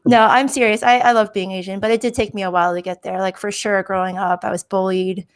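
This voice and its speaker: A woman's voice: mid-range voice, level tone, fast, smooth. Upbeat, candid woman on a panel. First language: Chinese. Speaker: guest, information security director. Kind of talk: casual panel conversation.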